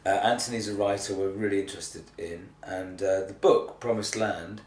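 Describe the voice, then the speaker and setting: A tired man with a cold and a slightly croaky voice, chatting hesitantly and self-deprecatingly in an unscripted video blog. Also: deep voice, steady tone, moderate pace, darkish tone. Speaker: theatre artistic director giving a monthly video update. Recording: echoey, distant mic, faint noise.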